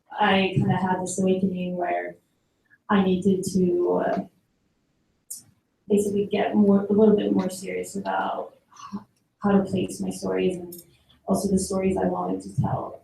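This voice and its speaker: Young woman from Sweden, flat gravelly delivery, distant mic in an echoey hall. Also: mid-range voice, a little hiss or hum. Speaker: photographer. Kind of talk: personal talk about her career.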